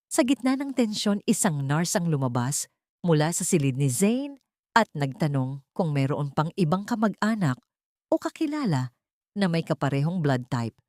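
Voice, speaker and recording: Low-pitched Filipino woman narrating drama. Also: very animated, moderate pace, darkish tone, no echo, clean studio sound. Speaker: audiobook narrator. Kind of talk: dramatic scripted story narration.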